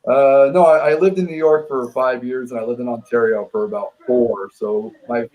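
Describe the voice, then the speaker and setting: An emphatic, opinionated man from Quebec, talking casually as a guest on a live whisky stream. His voice sits at an ordinary middle pitch, and he stumbles over repeated words when he is fired up. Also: steady tone, normal speed, a little mellow, poor audio. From Quebec, Canada.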